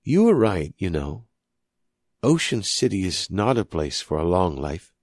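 A man's voice: low voice, sing-song, unhurried, a little mellow, dry recording, studio quality, heavily acted. A man voicing a menacing woman who taunts her captive with cool, knowing advice in an audiobook story. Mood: taunting.

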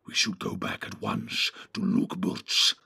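A man voicing a sinister, sneering orc messenger in a soft, evil, breathy voice for an audiobook. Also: slow, no echo. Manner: sneering, insinuating. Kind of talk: audiobook character voice.

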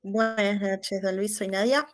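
A woman's voice: mid-range voice, steady tone, a little slow. A woman from Argentina with an Argentine accent, calling in to a live chat and politely laying out her question in detail. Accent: Argentine Spanish. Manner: polite, detailed, thinking aloud with "eh". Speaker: caller. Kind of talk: asking a question on a live call.

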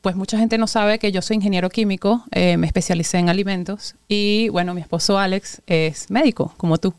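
A woman's voice: mid-range voice, fairly even intonation, brisk, darkish tone, clear, resonant tone, no room sound, distant mic. A Venezuelan woman answering conversationally as an interview guest. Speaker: interview guest, café owner.

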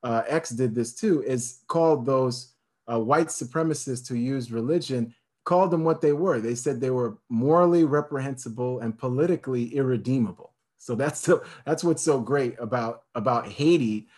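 Casting: man, middle pitch, fervent and emphatic, stacks points into long lists, uses fillers and restarts. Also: normal speed, a little mellow. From United States. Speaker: speaker on history. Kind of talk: impassioned talk on history.

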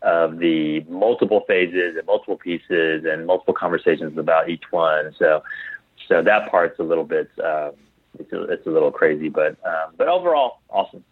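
Deep voiced guy in an interview, dry sound, kinda halting, repeats words while he thinks. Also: dry recording, phone-quality sound. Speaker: guest, clothing company founder. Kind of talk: casual interview answers.

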